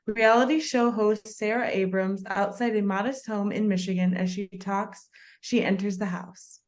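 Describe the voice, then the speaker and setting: A woman's voice: medium pitch, fairly even intonation, moderate pace. Woman reading screenplay stage directions. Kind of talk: plain scripted narration at a table read.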